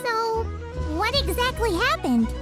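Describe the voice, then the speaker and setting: A woman voicing a tiny, excitable, childlike fairy companion in a video game, squeaky and exclamatory. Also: measured pace, loud music behind the voice, full character voice. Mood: curious. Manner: exclamatory, refers to self in third person. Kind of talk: video game cutscene acting.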